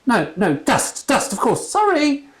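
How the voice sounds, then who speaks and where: A man voicing a forgetful young priest in a storybook, squeaky, stuttering and hesitant. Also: wildly swooping pitch, a little slow, a bit bright, heavily acted. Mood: flustered.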